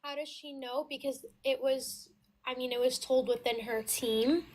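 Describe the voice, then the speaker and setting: A woman in her twenties from the United States, speaking with a very high voice in a casual debate, halting at first, then insistent. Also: fairly even intonation, darkish tone, slight background noise. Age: 20s. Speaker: caller.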